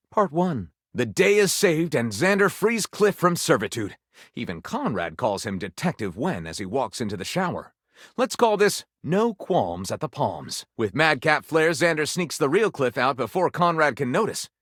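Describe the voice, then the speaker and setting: A theatrical male storyteller from a video game, narrating with wry relish. His slightly high voice moves through wide, animated swings of pitch. He lingers on titles with dramatic pauses, in a dry studio-clean sound. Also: no echo.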